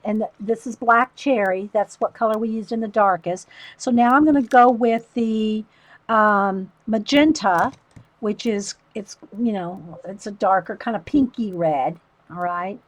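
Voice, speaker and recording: A woman with a loud voice, chatting casually to livestream viewers while she shows a coloring technique. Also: on the high side, no echo. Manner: rambling, talks to chat viewers by name.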